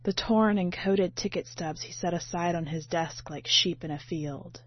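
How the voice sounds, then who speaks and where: Female short-story narrator, lower register, roomy and slightly dull podcast sound. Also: moderate pace. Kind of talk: literary audiobook-style narration. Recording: muffled, roomy home recording.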